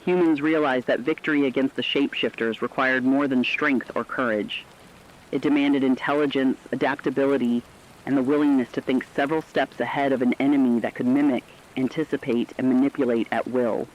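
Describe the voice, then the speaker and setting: A muffled, low-fidelity track carries a man's narration at a middling pitch. He reads dramatic fiction from a script, serious and measured throughout. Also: steady tone.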